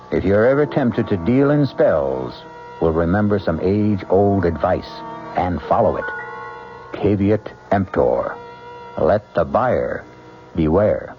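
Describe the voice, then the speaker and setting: Casting: deep male mystery host, wry and measured scripted narration, muffled vintage radio sound. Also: sing-song, faint music behind. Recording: dull old radio recording.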